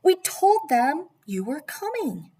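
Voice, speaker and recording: Woman playing a patient dad, picture-book read-aloud, coaxing, firm, emphatic, lively intonation. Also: high-pitched, takes it slowly, pitched above the performer's own voice, full character voice. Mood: reassuring, persuasive.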